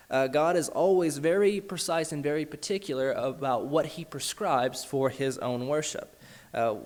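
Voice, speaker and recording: Man, mid-range voice, church Q&A answerer; earnest, halting, restarts often. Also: medium pitch. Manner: earnest, hesitant, many uh fillers and restarts. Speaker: preacher answering questions. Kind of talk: church Q&A talk.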